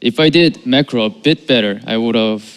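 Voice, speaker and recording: Pro gamer guy, short halting answers, smooth clear voice. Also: mid-range voice. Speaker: pro player being interviewed. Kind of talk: interview answers.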